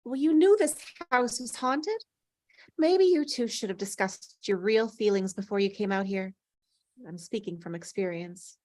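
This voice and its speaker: A woman's voice: medium pitch, animated, unhurried, a little mellow, no echo, close to an everyday voice. A woman playing a teasing, melancholy older female ghost in an acted script read, with wry, sighing, dramatic delivery. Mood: needling.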